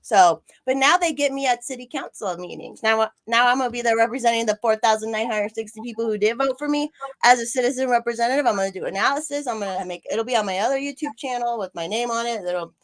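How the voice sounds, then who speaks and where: A woman's voice: fairly high pitch, fast talker. A woman hosting a casual livestream chat, rambling and swearing freely, laughing often. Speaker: podcast host, former city council candidate.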